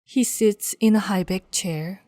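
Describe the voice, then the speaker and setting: A woman's voice: medium pitch, unhurried, dark tone, no echo, studio quality, close to an everyday voice. A woman performing as a moody, mysterious narrator in a scripted noir audio drama.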